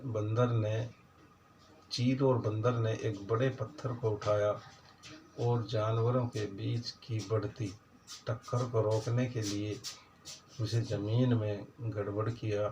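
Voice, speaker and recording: A man with a lowish, creaky voice tells simple moral stories for children. His delivery is flat, with halting, broken phrases and pauses. The recording is dull and slightly noisy. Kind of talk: informal storytelling narration in Hindi. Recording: dull lo-fi sound with faint noise.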